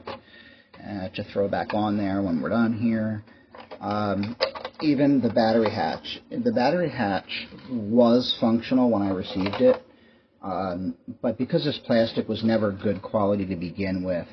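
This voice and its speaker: A man talks casually through a product on his video channel, in a rambling and resigned tone with dry humor. His voice sits a little low. The recording is dull, with noticeable noise behind him. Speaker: YouTube host. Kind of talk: casual product show-and-tell vlog. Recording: muffled lo-fi mic with clear background noise.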